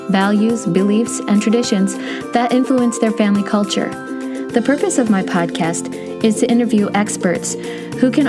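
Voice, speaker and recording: A woman hosting an interview podcast, with a scratchy voice today, reading her intro and then chatting eagerly with her guest. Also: mid-range voice. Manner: enthusiastic, reads intro script then chats. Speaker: podcast host.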